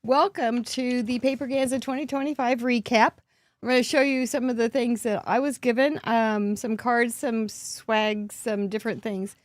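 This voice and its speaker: Hoarse middle-aged lady host, chatty and upbeat, kinda high voice, dry close mic. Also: steady tone, moderate pace, no room sound. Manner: chatty and upbeat, laughs often. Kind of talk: casual show-and-tell recap.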